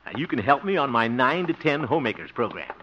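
An actor playing a blustery, hopeful older cousin in a 1950s radio sitcom, exclaiming theatrically. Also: male voice, medium pitch, big pitch swings, fast, close to an everyday voice. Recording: muffled, hissy 1950s radio recording.

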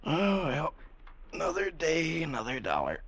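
An actor playing a folksy, grumbling veteran cavalry trooper with a rustic drawl in a 1950s radio drama. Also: male voice, fairly high pitch, wildly swooping pitch, a little slow, creaky, a bit bright, husky, heavily acted. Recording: old radio broadcast, faint noise.

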